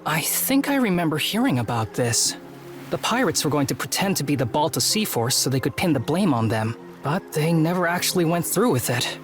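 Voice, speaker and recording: An easygoing young boy, sits on the low side with very varied pitch. He grumbles and gets flustered in animated game style, with music clearly behind him. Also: brisk. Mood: thoughtful. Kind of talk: animated video game voice acting.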